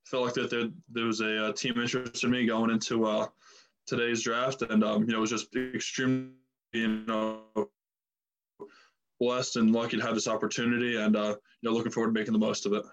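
A young man, a rookie football lineman, answering reporters in a flat delivery that leans heavily on filler phrases. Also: medium pitch, a little fast, low-quality recording. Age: young adult. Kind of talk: press conference answers.

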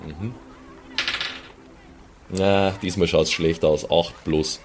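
Low-voiced or man. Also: steady tone, extremely slow. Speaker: player in a tabletop role-playing game. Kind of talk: casual improvised in-game chat. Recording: clear background noise.

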